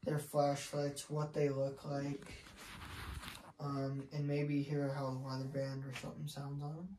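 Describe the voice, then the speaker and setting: Casual review co-host, mid-range mellow voice, chatty and unscripted, light background noise. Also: medium pitch, monotone, unhurried, poor audio. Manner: chatty, addresses viewers and co-host directly. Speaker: co-host of a product review channel.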